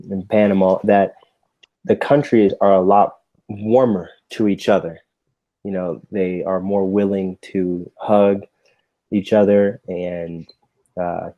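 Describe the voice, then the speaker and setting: A man with an American accent speaks in a low, mellow voice through dull, lo-fi audio. He tutors English in a casual, patient way, encouraging the learner as he chats. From United States. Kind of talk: casual conversational lesson.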